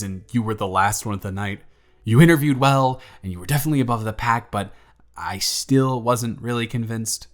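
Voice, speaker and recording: A man voicing a young single father in his twenties, speaking low and soft with an earnest, slightly flustered warmth. He talks in a gentle audio roleplay with faint music underneath. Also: close to an everyday voice. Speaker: father confessing feelings to the babysitter.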